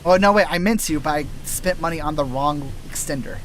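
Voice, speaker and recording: A man streaming as a VTuber, chatting casually while he plays, his voice a little raspy and tired from days of voice work, full of loose asides and restarts. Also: on the high side, brisk, fairly bright tone, slight background noise, poor audio. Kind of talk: casual rambling while gaming.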